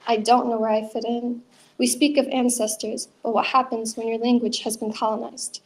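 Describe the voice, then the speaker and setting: A woman with a somewhat high voice recites her own poem at an open mic. She speaks in an earnest, measured way, easing into casual asides between verses. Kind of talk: spoken word poetry reading. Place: live poetry event.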